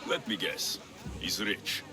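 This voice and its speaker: A man's voice: slow, crowd noise, close to an everyday voice. A man playing a blunt, skeptical mercenary with a protective streak in a video game, speaking firmly. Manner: blunt, firm. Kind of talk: video game cutscene acting.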